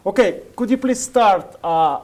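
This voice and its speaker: Russian guy hosting in english, kinda high warm voice, lots of restarts. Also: lively intonation. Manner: halting, restarts, casual. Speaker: host. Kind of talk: interview questions.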